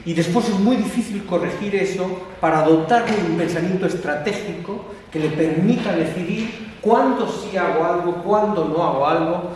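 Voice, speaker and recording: A man with an Argentine Spanish accent giving a conversational public lecture, halting now and then with fillers and restarts. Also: high-pitched, a little mellow. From Catalonia, Spain. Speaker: guest speaker. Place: lecture hall. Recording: echoey hall from far off with faint background noise.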